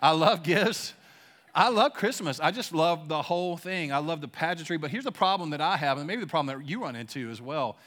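A young man preaching a sermon in a church, casual and excited, using slangy talk and leading a prayer. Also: on the high side, fairly quick. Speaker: pastor. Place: church sanctuary.

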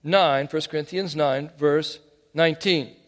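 A man preaching with an animated, emphatic delivery, often stopping to restart a phrase. His voice sits a little higher than most men's. A long hall reverb trails after his words. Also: unhurried. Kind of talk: sermon.

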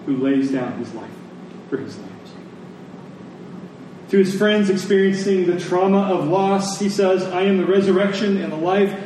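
A man preaching a sermon to a congregation, phrasing deliberately and emphatically and repeating lines for effect. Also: high-pitched, darkish tone. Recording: echoey room, distant mic, faint noise.

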